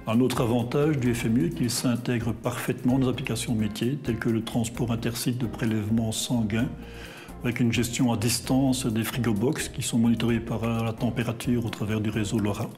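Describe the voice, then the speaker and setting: A man's voice: mid-range voice, fairly even intonation, brisk, a little mellow. A man speaking as an institution's representative in a corporate testimonial. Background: background music.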